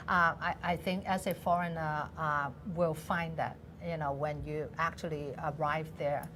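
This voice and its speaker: A woman from Hong Kong with a Hong Kong accent, her native tongue Cantonese, speaking as an interview guest in a TV studio, hesitant with many fillers and quick to laugh. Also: low-pitched, fairly even intonation, noisy background. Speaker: guest, business association president.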